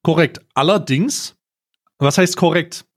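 Male podcast co-host, slightly high voice, casual and emphatic discussion style. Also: measured pace.

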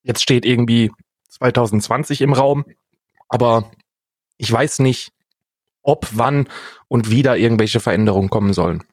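A man's voice: medium pitch, moderate pace, pauses often, slightly rough, no echo, clean studio sound. A man co-hosting a casual comedic podcast, speaking informally and often rephrasing himself. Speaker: podcast co-host.

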